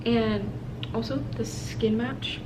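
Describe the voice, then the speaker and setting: A woman's voice: a little high, fairly even intonation, slow pace. A young American woman from Hawaii chatting casually and informally to camera in her apartment, thinking aloud as she does her makeup. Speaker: video blogger. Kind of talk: casual chat to camera while doing makeup. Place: indoors in an apartment. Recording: clearly noisy home recording.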